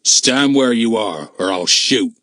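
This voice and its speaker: A cold, grim male villain from an adventure storybook, acted out by a man. He speaks slowly and deliberately, every phrase a threat. The sound is close and dry. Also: low voice, very animated, a bit bright, husky, no room sound, voice pushed down, full character voice. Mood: cold menace. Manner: slow, deliberate, threatening delivery.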